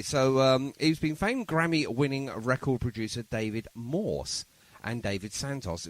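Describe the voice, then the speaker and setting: A man hosting a casual radio interview in a studio, talking in a chatty, rambling, enthusiastic way. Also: mid-range voice. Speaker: radio show host.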